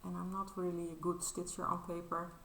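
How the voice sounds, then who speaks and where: A Dutch woman in her thirties chats in English with a low, gravelly voice that still sounds bright and a little tense. She hesitates a lot, filling the pauses with filler sounds and restarting her sentences. The room is echoey, with a faint hum of ambience behind her. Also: completely flat delivery. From Netherlands. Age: 30s. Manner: modest and apologetic. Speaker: crafting vlogger. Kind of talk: casual show-and-tell vlog.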